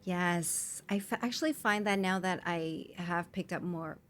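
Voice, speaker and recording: A woman with a slightly low voice speaks as a guest in a relaxed interview. She talks easily and casually, with frequent "um" and "like." She was born in Hong Kong and raised in Canada. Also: a little slow, a little hiss or hum. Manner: easygoing, with frequent um and like. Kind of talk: conversational interview.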